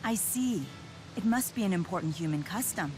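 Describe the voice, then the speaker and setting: A woman's voice: fairly low pitch, animated, moderate pace, slight background noise, close to an everyday voice, rough recording. A woman voicing a composed, curious young spirit woman with formal phrasing in a video game cutscene. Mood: composed, matter-of-fact. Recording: music under the voice.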